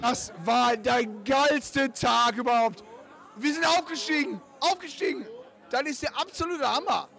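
A man, a football fan, talking euphorically and loudly in a street interview outdoors. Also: very high-pitched, a little slow, vocal fry, a faint crowd. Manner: shouting, euphoric.

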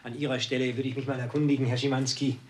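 An actor playing a coolly condescending police superior who speaks in a dry, cutting way. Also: male voice, mid-range voice, flat delivery, rapid-fire, a little hiss or hum, close to an everyday voice. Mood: sarcastic.

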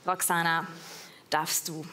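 A woman's voice: low voice, flat delivery, slow, big-room sound. A woman hosting an awards event live, chatty and encouraging with winners. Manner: chatty, encouraging, laughs often. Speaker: awards show host. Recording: loud crowd and noise at a live event.

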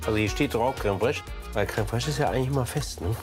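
A man's voice: medium pitch, fast talker, music in the background. Enthusiastic male TV cook chatting casually. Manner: enthusiastic, exclaiming. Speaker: TV cook and show host. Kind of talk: casual on-location chat while cooking.

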